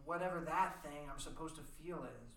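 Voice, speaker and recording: A man's voice: fairly high pitch, brisk, a bit bright, close to an everyday voice. A man playing an earnest, guarded teenage boy-next-door in an amateur radio play. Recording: roomy, distant mic, faint noise.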